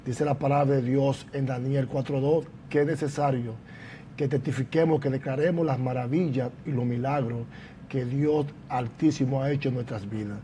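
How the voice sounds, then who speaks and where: A pastor with a mild, mellow voice hosts a church testimony broadcast, speaking formally and in a preacherly way. Faint background noise sits under his voice. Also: mid-range voice, fairly even intonation, normal speed. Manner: preacherly, formal, interjects praise. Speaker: pastor and program host. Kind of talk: church program interview. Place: church building.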